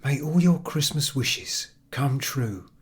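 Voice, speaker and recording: A man puts on a poor young mother in a storybook. The voice is lifted in pitch and breathy, soft and plaintive, sad yet grateful. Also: lively intonation, slow. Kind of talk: storybook character acting.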